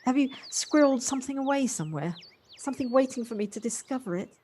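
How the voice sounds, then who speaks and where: A woman's voice: sits high, lively intonation, quick pace, dark tone. A woman acting a polite, slightly hesitant, well-spoken woman in an audio play, recorded at home. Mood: puzzled, wistful. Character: Jocelyn, polite warm woman settling into a house. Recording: home recording on personal device, faint noise.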